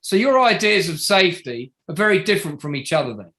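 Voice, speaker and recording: A man acting a barrister cross-examining a witness in a courtroom drama. He is formal, deliberate and pointed, in a fairly high male voice, steering with leading questions. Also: sing-song, pushed and bright, heavily acted. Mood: pointed, critical. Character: pointed, methodical male barrister for bereaved families. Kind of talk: courtroom drama table read.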